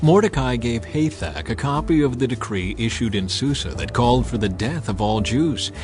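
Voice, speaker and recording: A man giving a dramatized scripted narration of scripture, with solemn, deliberate phrasing. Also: mid-range voice, lively intonation. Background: faint music.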